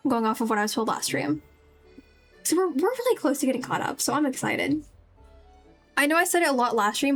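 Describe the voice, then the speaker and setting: Female streamer, high voice, chatty and enthusiastic, casual livestream delivery. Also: a bit bright. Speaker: VTuber game streamer.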